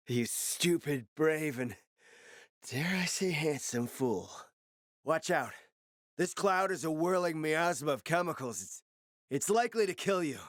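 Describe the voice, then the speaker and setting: Theatrical, animated game spymaster. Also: male voice, a little high, no room sound, studio quality. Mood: resigned, sardonic. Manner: theatrical, urgent, sardonic. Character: cunning, theatrical male spymaster scheming over a radio. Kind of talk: dramatic video game voice acting.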